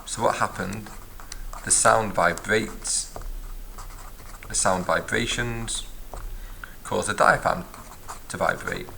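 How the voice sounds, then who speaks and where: A man's voice: on the low side, takes it slowly, vocal fry, long pauses, pushed and bright, slight background noise, poor audio. A man teaching a physics lesson, explaining step by step and recapping as he writes in a notebook. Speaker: science teacher. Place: at a desk, filming a notebook from above.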